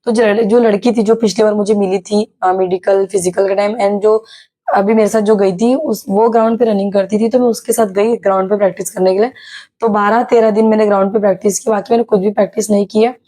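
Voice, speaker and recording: A young woman from Maharashtra with a slightly sore, hoarse throat, telling a casual vlog story with many hesitations. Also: medium pitch, fairly even intonation, fast, mellow. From Maharashtra, India. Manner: chatty, many hesitations and English words mixed in. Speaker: YouTuber sharing her exam experience.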